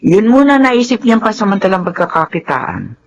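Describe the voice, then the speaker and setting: An actress playing a chatty, doting older mother-in-law excited to be a grandmother, in a Tagalog radio drama. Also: medium pitch, normal speed, dull audio, a little hiss or hum.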